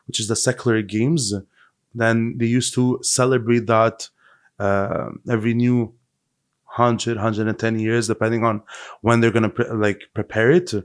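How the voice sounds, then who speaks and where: A man's voice: on the low side, dry recording, clean studio sound. A man hosting a podcast in a conversational style, feeling his way through explanations with restarted phrases. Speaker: podcast host.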